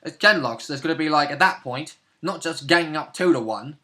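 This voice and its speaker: Young man, bright voice, casual chat. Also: on the high side, moderate pace. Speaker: player and co-presenter. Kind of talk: casual chat about a tabletop game session. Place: small home room, indoors. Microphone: webcam at arm's length.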